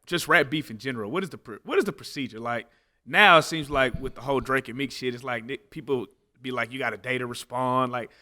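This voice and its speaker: A man's voice: medium pitch, fast, sharp tone. A man hosting a casual interview, asking questions in informal, slangy banter.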